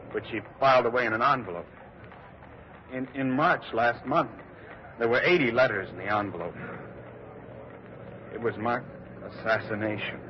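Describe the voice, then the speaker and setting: An actor playing a shaken, grieving private secretary who speaks haltingly and solemnly in a 1940s radio drama. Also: male voice, lowish voice, lightly acted. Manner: halting, solemn, repeats words. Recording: muffled, faintly noisy old radio broadcast.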